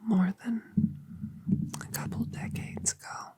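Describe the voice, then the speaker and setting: Colossal doting dragon mother, a woman whispering her: breathy, very slow, hushed ASMR. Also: fairly high pitch, fairly even intonation, heavily acted. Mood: tender. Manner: soft, slow, tender reassurance. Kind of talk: ASMR roleplay audio.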